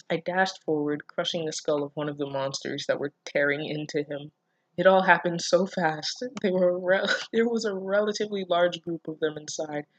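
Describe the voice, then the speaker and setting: A woman's voice: lowish voice, no room sound. A woman reading fan fiction aloud and reacting casually, with an incredulous, self-deprecating manner and free swearing. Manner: giggly, breaking off to comment. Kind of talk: casual reaction commentary mixed with read-aloud.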